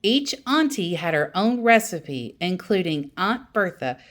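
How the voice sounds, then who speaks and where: A woman reads a children's book aloud with a very expressive, swooping delivery. Her voice sits a little low, and she takes her time, shaping each line like a storyteller. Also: a little slow. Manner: acts out quoted dialogue expressively. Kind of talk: animated read-aloud storytelling.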